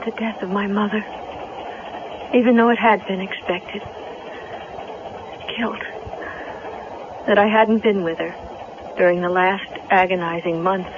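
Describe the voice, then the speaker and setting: A muffled, hissy old radio recording carries an actress as a grieving young woman. She is proud and precise. Her delivery is theatrical, at a middling pitch. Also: close to an everyday voice. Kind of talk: 1970s radio drama acting. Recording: noisy, muffled vintage radio broadcast.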